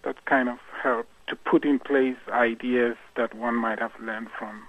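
A man's voice: mid-range voice, fairly even intonation, measured pace, no room sound. A man from Cameroon, a guest musician in a radio interview, speaking thoughtfully with many fillers and restarts. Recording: tinny phone line.

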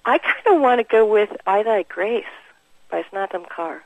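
American woman, gravelly creak, dry close sound, casual interview guest, informal. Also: mid-range voice, lively intonation, normal speed, no echo, low-quality recording. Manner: chuckles often, informal.

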